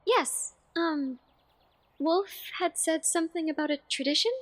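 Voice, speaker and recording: A woman playing a gentle, dreamy young bridesmaid in an audio drama, airy, earnest and expressive. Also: very high-pitched, unhurried. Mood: eager.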